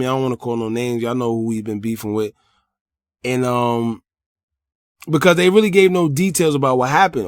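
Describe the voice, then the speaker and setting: A man's voice: medium pitch, no echo, studio quality. A man with an American accent recaps news as an online host, talking colloquially and directly to viewers with his own blunt opinions. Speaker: host of a hip-hop news commentary channel. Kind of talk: news recap with casual personal commentary.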